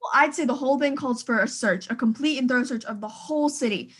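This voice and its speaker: A woman's voice: sits high, fast talker, breathy edge. A woman playing a fretful, imperious bedridden wife in a suspense radio play, insistent and agitated. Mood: anxious, insistent.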